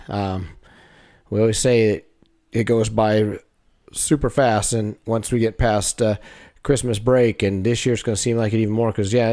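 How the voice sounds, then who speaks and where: A man, a wrestling coach, talking over the season in a casual, halting way, full of fillers and restarts. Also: lowish voice, warm tone. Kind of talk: casual chat.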